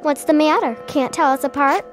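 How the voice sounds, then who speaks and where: A woman's voice: high voice, fairly quick, soft background music, natural voice. A woman playing an eager young woman in love. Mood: playful. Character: young woman in love, pleading then triumphant.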